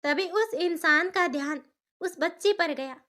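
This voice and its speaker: A woman narrates a cartoon story in a very high-pitched voice. Her tone is bright and forward, and she reads as a scripted storyteller. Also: unhurried. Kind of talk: scripted cartoon story narration in a Hindi moral-tale format.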